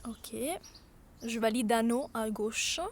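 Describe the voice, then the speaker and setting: A woman's voice: fairly high pitch, little pitch movement, takes it slowly, slight background noise. A woman whose first language is Italian, leading a workshop and demonstrating an app step by step, narrating each click with small hesitations. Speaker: workshop leader teaching learners.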